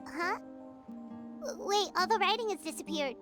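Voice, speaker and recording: Squeaky, excitable little fairy companion. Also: female voice, a little slow, music in the background. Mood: alarmed. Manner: speaks of self in third person, exclamatory. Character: tiny excitable fairy companion, childlike, indignant, plucky. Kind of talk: video game character voice acting.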